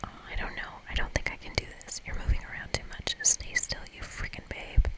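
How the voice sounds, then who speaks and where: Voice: fairly quick, lightly acted. Whispering earnest young woman played by ASMR reader. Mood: nervous.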